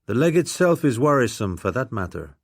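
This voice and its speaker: A man's voice: medium pitch, very animated, fairly quick, dry recording, clean studio sound. A man reading aloud voices a blunt frontier guide who talks in a plain, matter-of-fact way.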